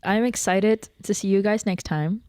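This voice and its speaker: A young woman from the Netherlands, co-hosting a podcast in relaxed, chatty conversation. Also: medium pitch, moderate pace, clean tone, dry recording, studio quality. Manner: relaxed, chatty, many fillers. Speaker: podcast co-host. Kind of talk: casual chat.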